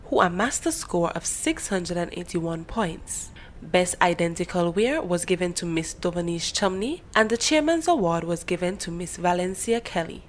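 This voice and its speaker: A woman reading a scripted news report as a reporter. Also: lowish voice. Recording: clearly noisy background.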